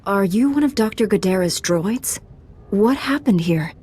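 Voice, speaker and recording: A woman's voice: mid-range voice, lively intonation, a little slow, darkish tone, husky. A woman playing a resolute, candid knight in a video game, with a firm, direct manner. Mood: questioning. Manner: firm, self-assured, direct. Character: resolute, candid young female Jedi knight. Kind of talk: scripted video game dialogue.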